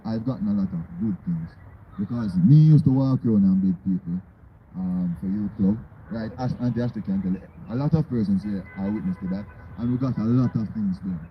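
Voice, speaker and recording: A man's voice: mid-range voice, highs cut off. A young man with a Jamaican accent and a strong voice gives an earnest, rallying speech to an outdoor community meeting, often tagging his points with "right?". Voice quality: strong, loud voice. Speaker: community organizer. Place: outdoors by a road. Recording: loud traffic noise behind. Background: passing cars.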